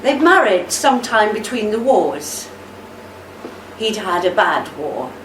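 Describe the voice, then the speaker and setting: A woman playing a devoted, steadfast older army wife in a radio play, with a measured, tender delivery. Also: medium pitch, sing-song. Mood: measured, careful. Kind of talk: amateur radio play acting. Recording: roomy, distant mic, faint noise.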